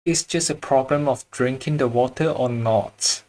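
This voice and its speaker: A man's voice: medium pitch, measured pace, a little mellow. A man with a Chinese accent, chatting casually with viewers while he draws on a livestream, often searching for words and chuckling. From China. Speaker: drawing livestreamer.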